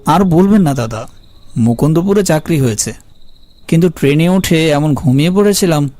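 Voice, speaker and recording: A man playing a polite, uneasy traveller who narrates an eerie animated ghost story in the first person. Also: fairly high pitch, normal speed, no room sound, close to an everyday voice. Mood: grateful, conversational. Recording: faint music behind the voice.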